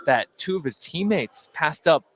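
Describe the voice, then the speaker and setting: A man giving conversational live color commentary on a basketball game in a halting, hesitant way that repeats words. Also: a little high, animated. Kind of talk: conversational live sports analysis. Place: indoor gymnasium during a game.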